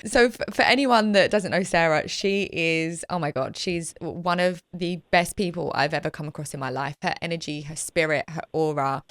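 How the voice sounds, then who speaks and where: A young woman hosting a podcast, chatty and animated, with a voice that sits a little low for a woman. She pokes fun at herself as she talks. The sound is dry and close, with no room echo. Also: moderate pace, few pauses. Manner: chatty, animated, self-deprecating. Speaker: podcast host. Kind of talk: casual chat.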